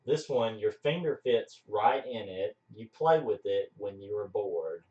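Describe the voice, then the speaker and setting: A man's voice: on the low side, lively intonation, measured pace, dark tone. A man casually reading out gags and bantering with a group. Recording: muffled, roomy, far from the mic.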